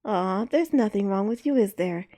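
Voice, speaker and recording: A woman voicing a kind, motherly grown woman who speaks in a soothing, gently firm way. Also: mid-range voice, expressive, moderate pace, lightly acted.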